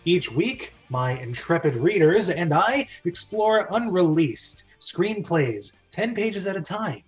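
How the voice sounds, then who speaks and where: A man's voice: sits high, very animated, takes it slowly, faint music behind. A man hosting and narrating a script read-through, chatty and jokey with a theatrical delivery.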